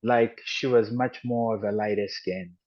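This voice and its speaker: Deep-voiced man telling his story haltingly. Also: fairly even intonation. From Ghana and the United States. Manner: halting and reflective, with frequent restarts and "you know". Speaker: podcast guest. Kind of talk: personal storytelling in an interview. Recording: dull lo-fi audio.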